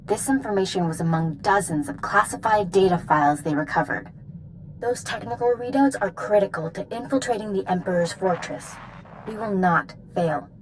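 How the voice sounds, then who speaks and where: An actress playing a composed, resolute military commander in a video game, giving measured, earnest briefings. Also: female voice, mid-range voice, noisy background, lightly acted. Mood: grave, briefing.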